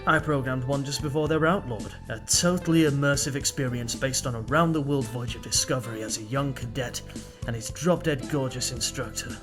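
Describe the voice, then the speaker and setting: A man playing a sly, cocky male tutor and tech schemer in an audio drama, smooth-talking and domineering. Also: medium pitch, fairly quick, lightly acted. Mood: wry, boastful. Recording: music under the voice.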